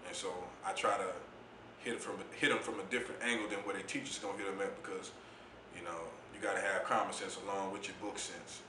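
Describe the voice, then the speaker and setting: Man, deep voice, low-pitched, laid-back interview guest, echoey room. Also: little pitch movement, fast, background noise. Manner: laid-back, reflective, says "you know what I'm saying" often. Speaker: interview guest, poet and youth mentor. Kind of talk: casual interview talk.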